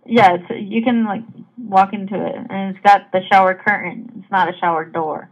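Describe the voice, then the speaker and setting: A woman's voice: mid-range voice, normal speed. A woman working as a hotel receptionist, answering casually and matter-of-factly on a phone call. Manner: obliging, matter-of-fact. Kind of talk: casual customer-service phone talk. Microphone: heard over a phone call.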